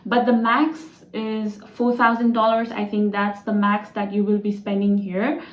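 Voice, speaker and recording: A woman's voice: medium pitch, completely flat delivery, moderate pace. A young woman from Nepal with a Nepali accent, a student vlogger explaining things in a loose, chatty way full of "like" and "you know". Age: young adult. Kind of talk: casual explainer vlog. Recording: roomy echo, slightly dull lo-fi sound.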